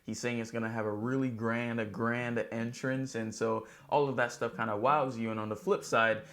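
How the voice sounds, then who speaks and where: A man with a mid-pitched voice presents a local news recap as a vlog host. He talks like an upbeat real estate agent, chatty and conversational. He addresses his viewers directly. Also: flat delivery, moderate pace, fairly bright tone.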